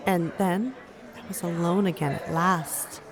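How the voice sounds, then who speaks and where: A woman's voice: fairly low pitch, fairly even intonation, measured pace, a faint crowd. A woman playing a resilient young woman who recounts her ordeal in a fantasy audio drama. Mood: wry, measured. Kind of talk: first-person storytelling in an audio drama.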